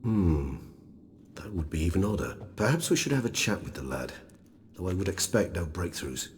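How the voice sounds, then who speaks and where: An actor playing an erudite, courteous vampire scholar in a video game, speaking measured, formal English. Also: male voice, low voice, very animated. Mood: musing, polite. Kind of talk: video game cutscene acting. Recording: long reverb, faint background noise.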